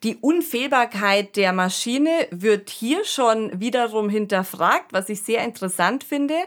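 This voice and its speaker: A woman's voice: on the high side, expressive, unhurried. A woman co-hosting a podcast, explaining things in an earnest, conversational way. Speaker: podcast co-host, expert commentator. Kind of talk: conversational discussion.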